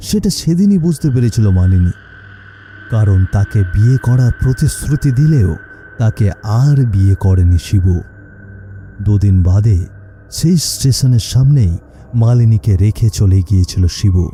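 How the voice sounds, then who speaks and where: A man narrating a ghost cartoon story in an even storytelling manner. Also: fairly low pitch, normal speed, a little hiss or hum.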